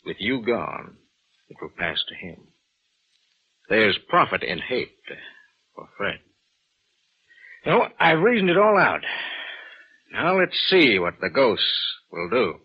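A man's voice: fairly low pitch, big pitch swings, takes it slowly, long pauses, heavily acted. An actor playing a cold, calculating, needling man who feigns concern, speaking with pointed insinuation in 1940s radio drama style. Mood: insinuating. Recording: muffled, noisy old radio broadcast.

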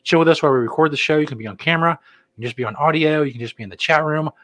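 A man's voice: fairly high pitch, rapid-fire, fairly bright tone, dry recording. Laid-back man co-hosting a chat show. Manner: laid-back, joking, rambling. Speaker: podcast co-host. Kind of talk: casual chat.

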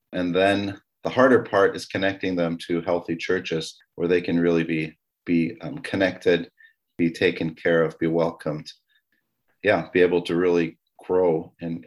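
Low-voiced middle-aged man telling his story. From Taiwan. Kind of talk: conversational personal storytelling.

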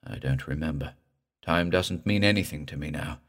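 A man voicing an undead drowned man in a horror story, with a slow, creepy, guttural, gurgling drawl and a vengeful temper. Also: a bass voice, a bit bright, pitched below the performer's own voice. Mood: eerie, brooding.

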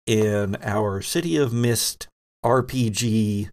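A man's voice: fairly low pitch, takes it slowly, a little mellow. A man hosting a tabletop role-playing podcast as game master, talking casually to players and listeners.

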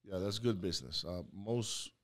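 A man's voice: sits low, moderate pace, fairly bright tone. A man from Venezuela hosting a podcast interview, chatty and joking, with a lot of restarts. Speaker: podcast host. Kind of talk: casual interview chat.

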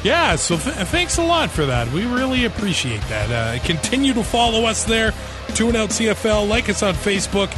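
A man co-hosting a casual sports podcast, talking in a relaxed, halting way with lots of fillers. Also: sits high, over music. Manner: relaxed, hesitant with many fillers. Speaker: podcast co-host.

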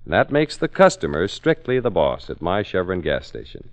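Animated vintage radio announcer man. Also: sits low, normal speed. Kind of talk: 1940s radio announcing and sponsor narration.

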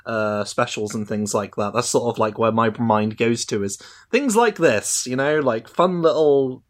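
A man's voice: medium pitch, a little slow. A man chatting casually as a podcast panelist, informal and a little tentative in how he phrases things. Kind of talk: casual chat.

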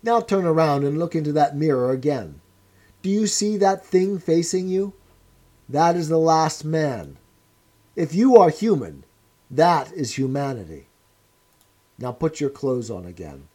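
A man voicing a cold, menacing male interrogator who speaks in a measured, commanding way. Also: fairly high pitch, expressive, dark tone.

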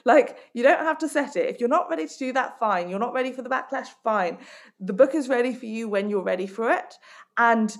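A woman's voice: fairly high pitch, brisk. A woman from Hong Kong, working as a life coach and author, giving direct and emphatic answers in a podcast interview.

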